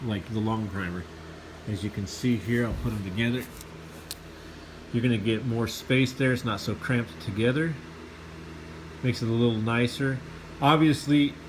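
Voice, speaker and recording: Man with a slight cold, sniffly, eager rambling product review, average pitch, air conditioner humming behind. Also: noisy background. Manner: enthusiastic, rambling with fillers. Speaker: Bible reviewer.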